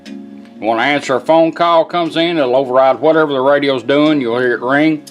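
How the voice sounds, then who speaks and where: A man walks through a product demonstration from inside a pickup cab. His voice sits at a middle pitch, and his manner is casual, folksy and plainspoken as he instructs step by step. Music plays faintly in the background. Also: normal speed. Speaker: presenter demonstrating a vintage truck radio.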